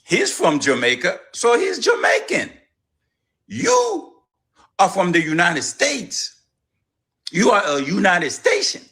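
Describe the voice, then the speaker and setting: A man's voice: high-pitched, huge pitch swings, slow, vocal fry, long pauses, fairly bright tone. A man from Haiti ranting in a social media clip, lecturing and emphatic.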